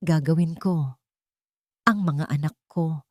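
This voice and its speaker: A woman's voice: low-pitched, fairly even intonation, slow, no echo. Woman acting frail mother, Filipino accent, determined, pleading, audio drama, dry recording. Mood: tearful pleading. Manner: pleading, weak, insistent.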